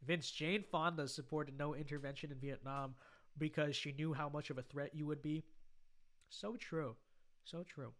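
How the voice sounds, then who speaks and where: Young American man with bright, sharp voice. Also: on the high side, fairly even intonation. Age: young adult. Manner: halting delivery with repeated phrases. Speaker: livestream host. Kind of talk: casual livestream chat answering viewer comments.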